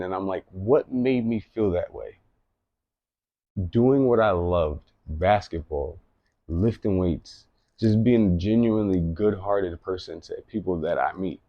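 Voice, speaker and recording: A man's voice: lowish voice, no room sound, muffled recording. A man in his late thirties giving an earnest, rambling personal monologue, often repeating himself. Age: late 30s. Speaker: vlogger.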